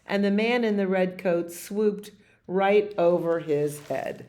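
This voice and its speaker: A woman in her sixties reading aloud at storytime in an expressive way, with chatty asides about the pictures and questions for her listeners. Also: mid-range voice, slow. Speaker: library storyteller reading picture books to children. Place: library activity room, indoors.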